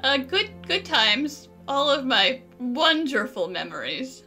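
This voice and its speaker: A woman's voice: high-pitched, big pitch swings, slow pace, loud music behind the voice. A woman giving casual commentary on a game playthrough, with joking, sarcastic asides. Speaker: gaming streamer.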